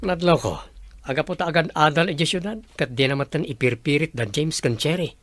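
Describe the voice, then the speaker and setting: A man's voice: on the high side, a little fast, a bit bright, dry recording. A man with a Filipino accent acting an earnest young man who wants to help, in a radio drama.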